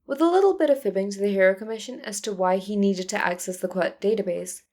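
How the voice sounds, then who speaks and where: A woman narrates prose fiction in a medium pitch. Her delivery is scripted storytelling, following a character's thoughts as an audiobook reader would. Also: fast talker, darkish tone.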